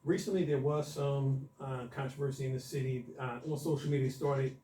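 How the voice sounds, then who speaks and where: A man hosting a radio talk show, putting opinionated questions in a measured way. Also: mid-range voice, fairly even intonation, warm tone, echoey room, distant mic, a little hiss or hum. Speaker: radio host. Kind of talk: radio interview.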